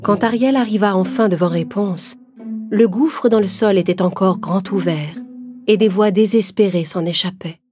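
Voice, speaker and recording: A woman's voice: medium pitch, normal speed. A woman narrator reading a scripted fairy tale for children. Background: background music.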